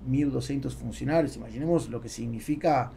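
A man's voice: medium pitch, fairly quick, creaky, warm tone, a little hiss or hum. A middle-aged man, a native Spanish speaker with a Spanish accent in Portuguese, answering interview questions as a podcast guest and often searching for words. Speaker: podcast guest, business executive. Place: small foam-treated podcast studio. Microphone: close boom-arm studio microphone.

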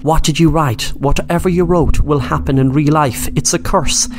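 A man voicing a distraught, urgent father in an animated horror story, earnest and insistent. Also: fairly high pitch, normal speed, natural voice. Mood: insistent, warning. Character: distraught urgent father confessing a family curse. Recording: music behind the voice.

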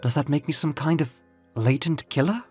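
A man's voice: on the high side, normal speed, a little mellow, husky, faint music behind, pitched above the performer's own voice. A man voicing a frightened, exhausted young man who pleads for an honest answer. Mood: fearful. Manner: anxious, pleading. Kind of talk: audio comic book acting.

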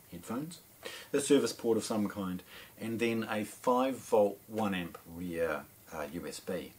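A middle-aged man with a New Zealand accent presents a product unboxing. His voice sits somewhat low, and he explains things in a measured way. A faint background noise runs under the recording. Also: darkish tone. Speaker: audio shop presenter. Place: indoor shop demo room with shelves and speakers.

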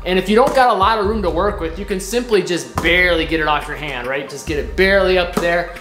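A man's voice: high voice, quick pace. A man in his thirties coaching basketball drills while seated on a couch in a living room, upbeat and demonstrating as he talks. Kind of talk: instructional drill demo. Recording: echoey room with faint music.

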